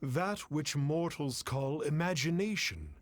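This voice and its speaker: A man voicing a pompous fraudulent sage in a fantasy game, speaking in a grandiose, archaic, measured way. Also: fairly high pitch, lightly acted. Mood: mystical, smug. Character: pompous fraudulent male sage posing as a masked immortal. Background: background music.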